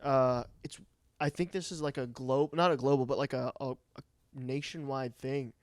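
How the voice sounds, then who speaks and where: A man co-hosting a podcast in casual banter, informal and self-mocking, thinking out loud as he talks. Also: medium pitch, fairly even intonation, normal speed, no room sound. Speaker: podcast co-host.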